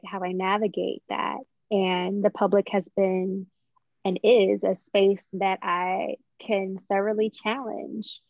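A woman's voice: medium pitch, dry recording. A young woman answering interview questions reflectively, searching for words as she goes. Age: young adult. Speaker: playwright, interview guest. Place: indoors in a room at home. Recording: dull, dry video-call audio.

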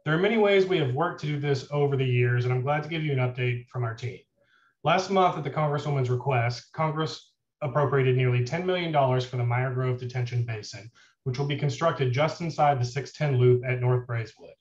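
A man reads a prepared policy update in a steady, formal tone. His voice carries the echo of a bare room. The audio sounds somewhat dull. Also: medium pitch, brisk. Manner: even, formal delivery. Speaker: deputy chief of staff giving an update. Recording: echoey room, muffled audio.

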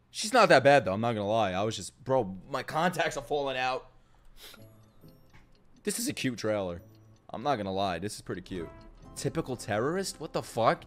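A man's voice: fairly low pitch, lively intonation, brisk. A man streaming a casual live reaction, slangy, sweary and exclamatory. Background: game trailer music.

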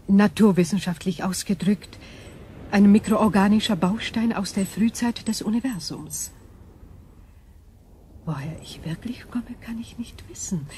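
Calm ancient alien lady in a radio play, soft dark voice, very measured, formal way of talking. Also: medium pitch, a little hiss or hum. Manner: measured, reflective, formal phrasing. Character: ancient, growing alien crystal being, female, calm, thoughtful, curious. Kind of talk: radio drama acting.

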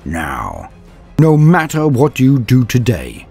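A man hosting a scripted lore narration, with a husky voice and a theatrical, florid delivery full of drawn-out emphasis. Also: mid-range voice, huge pitch swings, dark tone. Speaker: host and narrator of a tabletop wargame lore show. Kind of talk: scripted narration, video essay. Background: background music.

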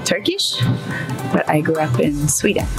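Low, gravelly young woman from Iran. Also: sing-song, measured pace, music in the background. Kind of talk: corporate interview testimonial.

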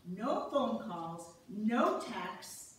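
Lively, echoey woman playing a chatty older woman. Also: mid-range voice, drawn-out words. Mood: eager, persuasive. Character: chatty, excitable older woman nudging friends into adventure. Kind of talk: amateur reader's theater. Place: small church, recorded from audience pews. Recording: echoey hall, far off, noisy.

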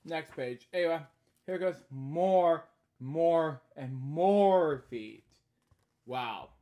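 Higher-voiced man reading a picture book aloud. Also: dull audio. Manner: halting, repeating stock phrases between short read lines. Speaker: host of a bedtime story series. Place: small indoor room at home.

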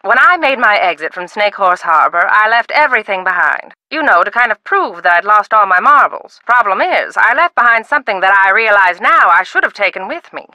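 An actress voicing a secretive, eccentric older widow in a video game, speaking wryly with dramatic pauses. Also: female voice, mid-range voice, lively intonation, sharp tone, no echo, low-quality recording. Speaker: game character.

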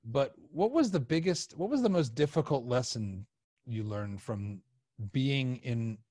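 A man in his forties hosting a casual interview, halting and rephrasing his questions as he goes. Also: mid-range voice, animated, darkish tone, no room sound, studio quality. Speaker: podcast host. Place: small home studio room, indoors. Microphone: close podcast microphone on a video call.

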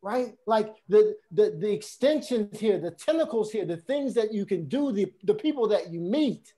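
Guy hosting a video call chat, pretty high voice, earbuds, keeps restarting sentences. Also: moderate pace. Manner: animated, gestures a lot, many restarts and repetitions. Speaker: podcast host. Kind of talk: conversational interview on a video call. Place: room at home. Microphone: earbud headset on a video call.

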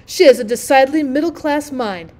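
A woman's voice: high-pitched, a little fast, mellow, pitched above the performer's own voice, close to an everyday voice. Woman putting on a pompous, vain male storybook rocket. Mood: haughty, disdainful. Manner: haughty, declamatory, boastful. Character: pompous, vain male firework rocket, haughty and self-satisfied.

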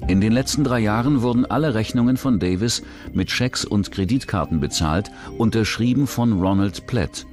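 A man's voice: on the low side, moderate pace, a little mellow, soft background music. A man narrating a true-crime documentary from a script.

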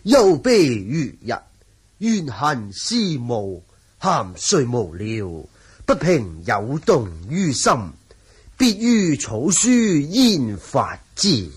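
An art-obsessed scholarly manor lord in an old Cantonese radio play, with a Hong Kong accent. He declaims slowly and huskily, savouring words with big swings of intonation. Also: mid-range voice, very animated, slightly rough, heavily acted. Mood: reciting, admiring. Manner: theatrical, savouring recitation. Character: bookish, excitable calligraphy-obsessed manor lord. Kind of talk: 1980 Cantonese radio drama acting.

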